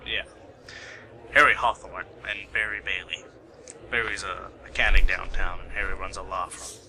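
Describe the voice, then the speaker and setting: A man's voice: low voice, little pitch movement, normal speed, gravelly, sharp tone, heavily acted, poor audio. A man playing a matter-of-fact, mildly teasing police colleague in an amateur radio play.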